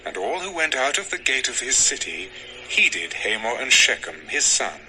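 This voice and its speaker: A man's voice: mid-range voice, very animated, moderate pace, pushed and bright, a little hiss or hum, low-quality recording. A man narrating a dramatized scripture story from a script.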